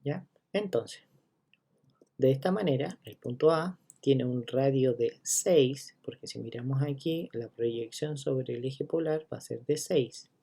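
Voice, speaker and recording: A man teaching a geometry lesson, counting steps out loud and checking in with listeners as he goes. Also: mid-range voice, a little slow, creaky, breathy edge. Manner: walks through each step, checking in with listeners; counts items out loud one by one. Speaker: math teacher.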